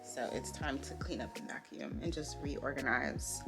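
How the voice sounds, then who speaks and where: A woman's voice: very deep voice, normal speed, creaky, loud music behind the voice. A woman talking candidly and informally in a casual personal vlog, recorded at home. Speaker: vlogger, costume designer. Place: indoors at home.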